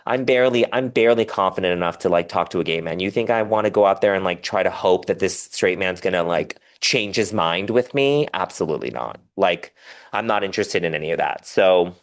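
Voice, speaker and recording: A man talking casually and candidly as a podcast guest, animated and rambling, with self-deprecating humor. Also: deep voice, brisk. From diaspora Korean, raised in the West.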